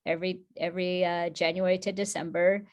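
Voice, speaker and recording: A woman's voice: lowish voice, flat delivery, a little fast. Chatty woman presenting on a video call. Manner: chatty, informal, many fillers and restarts. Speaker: workshop presenter.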